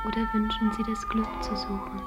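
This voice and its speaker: An actress playing a ceremonious guide in a science fiction radio play, speaking in a formal, measured tour-guide manner. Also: female voice, a little high, big pitch swings. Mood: solemn, formal. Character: ceremonious female guide of a sci-fi pleasure house. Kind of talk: scripted radio drama acting. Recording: music behind the voice.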